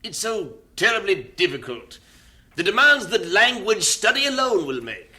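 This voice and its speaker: An actor playing a stern, fussy older mission director who speaks in clipped, formal phrases. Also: male voice, high voice, very animated, normal speed, sharp tone, heavily acted. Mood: stern, doubtful. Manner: clipped, formal British-sounding phrasing, "don't you know".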